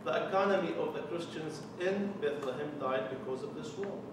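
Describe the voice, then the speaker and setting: A man's voice: a little high, moderate pace. Palestinian-accented man, echoey distant room. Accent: Palestinian Arabic. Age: 40s. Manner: earnest, with wry jokes. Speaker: Lutheran mission developer. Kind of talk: personal testimony talk to a committee. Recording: echoey room from far off, with noise.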